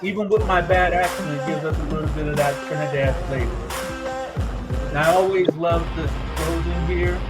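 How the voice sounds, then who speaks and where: Easygoing male podcast host, unhurried delivery, faint music underneath. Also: a little high. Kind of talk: casual podcast chat.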